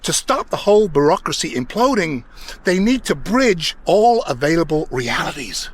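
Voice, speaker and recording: High, animated, brash alien hipster. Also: male voice, normal speed, husky. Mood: boastful. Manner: slangy, boastful, excitable. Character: brash, vain, hipster male alien ex-president. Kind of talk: comic radio drama acting.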